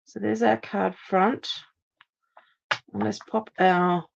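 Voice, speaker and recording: A woman from Australia, a little hoarse after laryngitis, giving a chatty step-by-step live card-making demonstration at her craft table. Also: mid-range voice, slow pace, muffled recording, slight background noise. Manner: chatty, steady step-by-step instructions.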